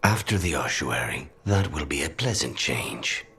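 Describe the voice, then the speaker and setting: Brooding, very deep-voiced assassin. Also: male voice, very animated, sharp tone, full character voice. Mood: brooding, guarded. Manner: measured, understated, dryly menacing. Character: brooding male assassin, possessed by a demon, weary and dry. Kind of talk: video game dialogue acting.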